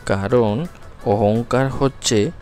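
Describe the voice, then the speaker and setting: A man's voice: mid-range voice, steady tone, slow, a little mellow, close to an everyday voice. A man voicing a grave, preachy bear who admonishes others in a cartoon fable. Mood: admonishing. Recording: music under the voice.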